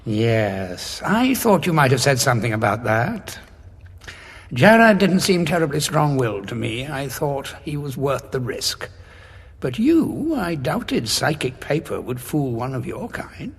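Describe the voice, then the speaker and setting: An actor playing a weary, well-travelled renegade time traveller in an audio drama, husky, wry and measured as he probes with questions. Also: medium pitch, very animated, long reverb tail, heavily acted. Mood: wry, probing. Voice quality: very husky, raspy.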